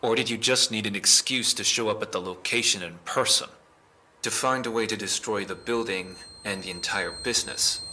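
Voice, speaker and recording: An actor playing a stern, suspicious police interrogator in an audio drama, formal and pressing. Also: male voice, lowish voice, moderate pace, sharp tone, low-quality recording. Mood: accusing.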